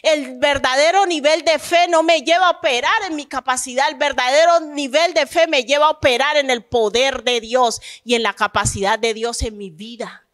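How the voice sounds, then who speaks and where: A woman pastor preaches with a slightly high voice. She tells her testimony in an easy, conversational way. Then she lifts into fervent, emphatic preaching about faith. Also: moderate pace, hardly any pauses, a bit bright. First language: Spanish. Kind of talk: sermon with personal testimony.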